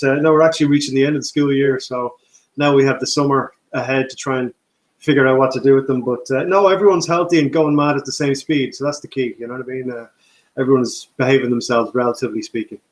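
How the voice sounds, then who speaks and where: A male co-host chatting casually and relaxed on a live stream. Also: medium pitch, quick pace, low-quality recording. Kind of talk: casual chat.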